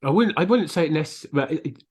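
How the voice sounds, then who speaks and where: A man's voice: a little high, expressive, fast talker, a little mellow, breathy edge. A man co-hosting a book podcast, giving blunt opinions in casual discussion. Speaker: book review podcast co-host. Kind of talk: casual book discussion, sometimes reading reviews aloud.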